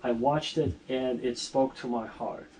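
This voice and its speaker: A middle-aged man from Armenia talks his way through his life story in an earnest, rambling style, often breaking off and restarting his sentences. His voice sits at an ordinary pitch and sounds a little distant from the microphone. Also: steady tone, a little slow, mellow, slight background noise. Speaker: interview guest. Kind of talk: casual video-call conversation.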